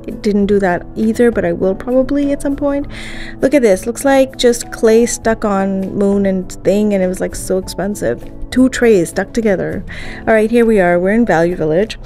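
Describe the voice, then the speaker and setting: A woman's voice: mid-range voice, dark tone. A woman in her thirties vlogging casually, chatty and rambling, her voice strained from being sick. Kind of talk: casual thrift vlog. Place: parked car, daytime. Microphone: phone at arm's length.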